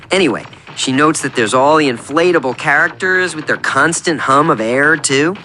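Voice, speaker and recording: A man's voice: mid-range voice, pushed and bright. A man playing an earnest, lonely, oversharing oddball client in a radio comedy, rambling in odd detail. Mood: wistful. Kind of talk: scripted radio comedy monologue.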